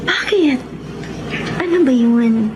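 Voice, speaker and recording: Coy, high-voiced Filipina radio drama temptress. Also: female voice, animated, slow, lo-fi sound, heavy background noise. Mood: guarded, coy. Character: mysterious woman escort secretly spying on a politician, coy. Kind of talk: Tagalog radio drama acting.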